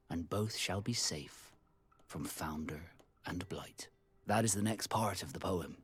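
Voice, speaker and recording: Husky, expressive proud sea captain. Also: male voice, deep voice, heavily acted. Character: proud, loyal male sea captain, romantic and guarded. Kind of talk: video game voice acting.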